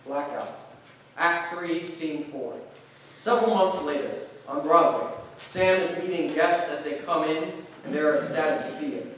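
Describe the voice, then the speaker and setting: A man's voice: on the high side, measured pace, distant mic. Man narrating in a very echoey hall. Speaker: stage directions reader. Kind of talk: scripted narration at a staged reading. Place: large echoey indoor room. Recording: echoey hall from afar, faint noise.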